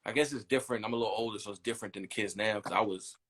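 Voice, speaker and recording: A man in his thirties, a brand designer, chatting in a relaxed interview and rambling easily with lots of "you know what I mean". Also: lowish voice, very fast, breathy edge. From United States. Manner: easygoing, rambling, leans on "you know what I'm saying".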